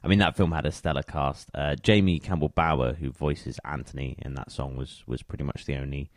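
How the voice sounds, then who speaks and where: Very deep-voiced man, creaky. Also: fairly even intonation, fairly quick, no echo, studio quality. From England. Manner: chatty, conversational. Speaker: radio show host. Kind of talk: casual music show presenting.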